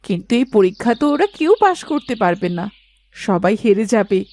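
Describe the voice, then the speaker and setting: A woman playing a chatty, teasing middle-aged village wife and mother in a cartoon folktale, expressive and full of questions. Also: mid-range voice, normal speed, natural voice. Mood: doubtful, concerned. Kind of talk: animated folktale dialogue acting.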